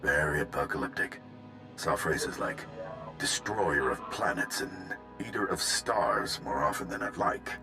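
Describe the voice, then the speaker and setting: A man playing a gruff, weary Republic field officer giving laconic mission briefings in a video game, with a gravelly voice. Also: a bass voice, very animated, unhurried, music in the background, heavily acted. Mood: grave, wry.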